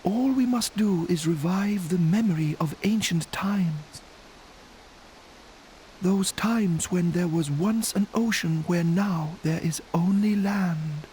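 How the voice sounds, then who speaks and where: An actor playing a serene, mysterious, ageless spirit in a video game cutscene, speaking solemnly and ceremoniously over music. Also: male voice, sits high, slow, a little hiss or hum, poor audio. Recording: loud game music behind the voice.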